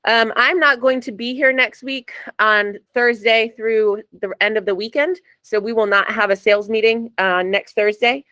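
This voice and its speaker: A woman with a raspy voice hosting a casual office sales meeting over a video call, chatty and upbeat with frequent ums. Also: fairly high pitch, steady tone, moderate pace, hardly any pauses, pushed and bright, no echo. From New Jersey. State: raspy this morning.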